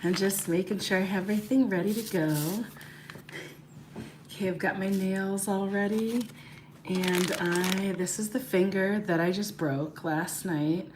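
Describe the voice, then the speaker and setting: A woman in her thirties, hoarse and raspy from losing her voice, chatting casually to livestream viewers during a nail tutorial and greeting them by name. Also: medium pitch, unhurried, background noise. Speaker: beauty livestream host.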